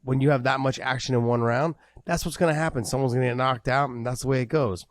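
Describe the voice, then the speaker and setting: Male podcast co-host, mid-pitched, animated and wordy, dry studio mic. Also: fast, dry recording. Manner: animated, repeats words as he builds a point. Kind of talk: casual MMA podcast chat.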